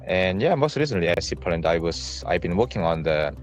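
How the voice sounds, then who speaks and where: A man with a Korean accent answering job interview questions on a video call in a halting, rehearsed way. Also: low voice, sing-song, faint music behind. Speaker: job candidate.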